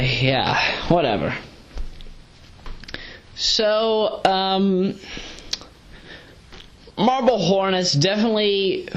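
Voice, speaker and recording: A woman giving a casual, rambling audio review, sleep-deprived but hyper, digressing and correcting herself in slangy talk as she paces around. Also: sits low. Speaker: video reviewer, vlogger. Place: pacing around while recording.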